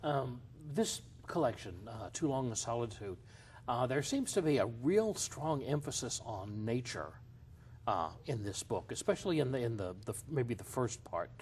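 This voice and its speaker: A man's voice: mid-range voice, sing-song, normal speed, darkish tone, a little hiss or hum. A man hosting an author interview, asking tentative, friendly questions. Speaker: interview host.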